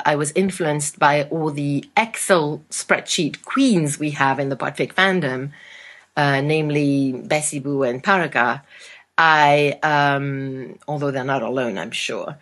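Low-pitched woman, halting and reflective. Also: a bit bright. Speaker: podcast contributor with recorded commentary. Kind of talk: casual recorded monologue about own process.

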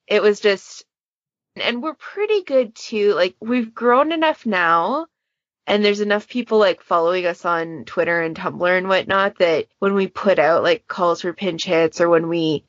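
A woman's voice: on the low side, no echo, lo-fi sound. A woman in a casual podcast discussion, talking in a chatty, discursive way and acting out little quotes as examples. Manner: chatty, discursive, illustrates points with imagined quotes. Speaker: podfic challenge moderator.

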